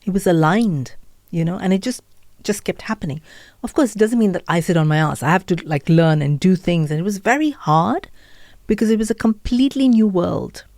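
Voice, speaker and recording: Indian woman speaking low and mellow. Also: a little fast, no room sound. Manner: earnest storytelling with listing and self-talk quotes. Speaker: podcaster and author guest. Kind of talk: candid interview conversation.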